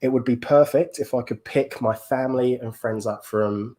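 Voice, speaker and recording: A man's voice: mid-range voice, moderate pace, dark tone. An upbeat Englishman hosting a live podcast over a video call, enthusiastic and fond of saying "absolutely". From England. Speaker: podcast host. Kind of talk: casual live-stream chat.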